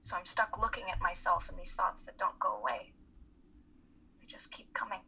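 A woman playing an anxious, self-critical college student in a play reading, husky and hesitant. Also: medium pitch, fairly even intonation, moderate pace, sharp tone, in a whisper, highs cut off, heavily acted. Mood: anguished confiding. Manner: hesitant, self-conscious confiding. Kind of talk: dramatic reading of a stage play.

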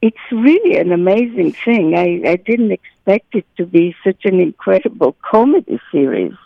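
Gravelly-voiced actress talking shop. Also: female voice, mid-range voice, moderate pace, no room sound, phone-quality sound. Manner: animated, restarts phrases often. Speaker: actress, guest. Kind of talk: interview chat.

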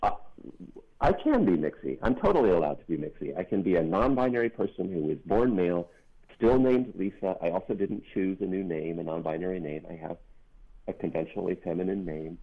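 A middle-aged non-binary author speaks with a very low, gravelly bass voice that has a husky edge. The talk is thoughtful and earnest, with pauses and restarts along the way. It comes through a narrow, phone-like line. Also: animated. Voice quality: deep bass voice. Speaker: author guest. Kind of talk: reflective interview talk.

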